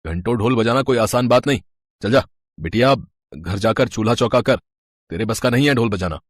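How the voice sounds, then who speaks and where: Guy doing grumpy wedding band boss, bossy, rough husky voice, story style. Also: lowish voice, brisk, no echo, lightly acted. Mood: dismissive, scolding. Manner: brusque, scolding, bossy. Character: gruff, dismissive male boss of a wedding band.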